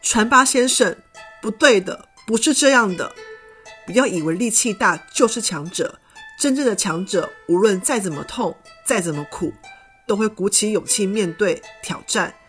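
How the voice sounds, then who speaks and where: A woman's voice: mid-range voice, animated, moderate pace, long pauses, a bit bright, faint music behind, close to an everyday voice. A woman voicing a brave, kind male rhinoceros beetle samurai in a children's story. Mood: earnest. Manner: earnest, pleading, resolute.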